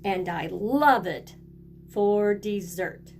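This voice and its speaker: A woman voicing a melodramatic young crocodile from a storybook, with exaggerated, drawn-out delivery. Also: medium pitch, darkish tone, faint music behind. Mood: gleeful, relishing. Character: melodramatic young crocodile who adores watermelon.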